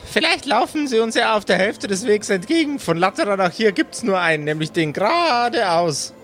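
A man's voice: sits high, very animated, moderate pace, a bit bright, soft background music, pitched above the performer's own voice. A man voicing a timid, kindly, clumsy big creature with a hesitant stammer in a tabletop game. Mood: bashful, earnest.

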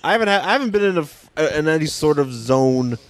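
A man's voice: fairly high pitch, animated, fast, dry recording. A man co-hosting a casual comic book podcast, stammering into jokey asides. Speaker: podcast co-host.

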